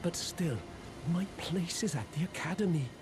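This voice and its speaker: An actor playing a humble, hapless apprentice sorcerer in a video game, apologetic, self-deprecating and hesitant. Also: male voice, on the high side, normal speed, close to an everyday voice. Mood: wistful, resigned. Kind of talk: video game character dialogue.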